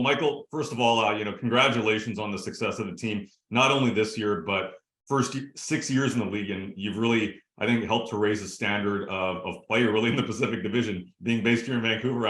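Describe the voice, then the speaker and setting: A man's voice: lowish voice, fairly bright tone, reverberant. A man, a sports show host asking long, eager, rambling interview questions.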